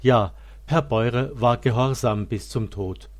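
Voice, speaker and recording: A man reading a scripted religious biography as narrator in a solemn, measured manner. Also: medium pitch.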